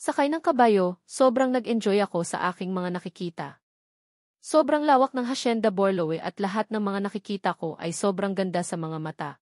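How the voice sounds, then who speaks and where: A woman's voice: medium pitch, darkish tone, dry recording. Female Filipino audiobook narrator, first-person romance fiction, mid-range voice, dry sound.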